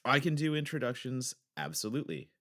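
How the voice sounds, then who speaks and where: A man's voice: medium pitch, sing-song, a little fast, fairly bright tone, no echo, clean studio sound. A man co-hosting a podcast in casual chat, enthusiastic and prone to rambling. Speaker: podcast co-host.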